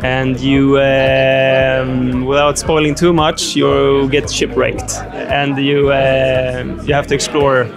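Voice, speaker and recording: A man, a VR game developer, answering interview questions in a hesitant, halting way with many fillers. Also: medium pitch, little pitch movement, vocal fry, music in the background. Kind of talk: casual interview.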